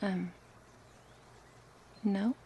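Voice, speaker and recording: A woman playing a gentle, curious, coaxing snake woman in an intimate ASMR roleplay, speaking softly and hesitantly in a breathy, often whispered voice. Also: medium pitch, fairly even intonation, a little hiss or hum. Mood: earnest, pleading. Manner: slow, hesitant, soft-spoken with sighs and pauses. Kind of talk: ASMR roleplay, intimate one-sided dialogue.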